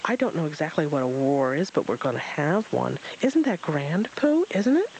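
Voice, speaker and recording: A reader voicing a tiny excitable young kangaroo boy, squeaky and eager. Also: moderate pace, slight background noise, poor audio.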